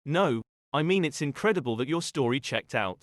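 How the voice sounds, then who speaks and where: A man's voice: mid-range voice, expressive, moderate pace, no room sound, studio quality, close to an everyday voice. A man playing a reasonable, supportive husband who argues persuasively in an audio drama. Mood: earnest, amazed.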